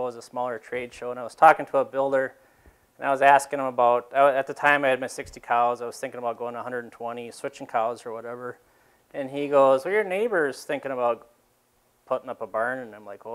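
A man's voice: medium pitch, fairly even intonation, fast, smooth. A dairy farmer in his fifties giving a casual talk to a seated audience in a large exhibition hall, rambling with fillers and restarts.

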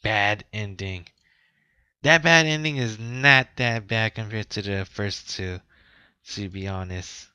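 Slow-paced male game streamer. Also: on the low side, bright, forceful tone, clear, resonant tone, no echo, clean studio sound. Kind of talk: reading game text aloud with casual commentary. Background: game music.

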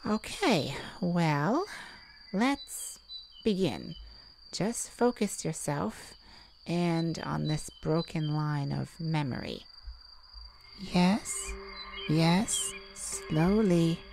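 A woman playing a calm, enigmatic mystic who peers into minds, speaking with soothing, hesitant pauses in an intimate audio roleplay. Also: low-pitched, creaky, slight background noise, full character voice. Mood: gently guiding. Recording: nature ambience with birds and crickets.

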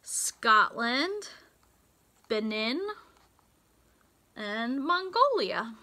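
Bright, animated American woman reading to children. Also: on the high side. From United States. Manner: deliberate, sing-song delivery with emphasized words. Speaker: teacher reading aloud to children. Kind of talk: picture book read-aloud for young children. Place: small indoor room with racks of hanging clothes.

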